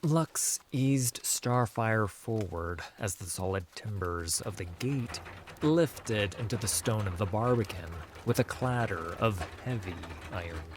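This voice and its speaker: Very slow, low-voiced male fantasy narrator. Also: slight background noise. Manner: measured, dramatic pauses. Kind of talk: scripted dramatic fantasy audiobook narration.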